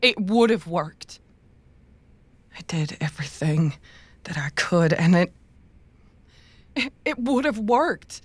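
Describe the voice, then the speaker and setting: A woman playing a sorrowful schemer who justifies herself, halting and pleading, in a cyberpunk audio drama. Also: lowish voice, lively intonation, breathy edge, no room sound, exaggerated voice. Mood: wistful, defensive.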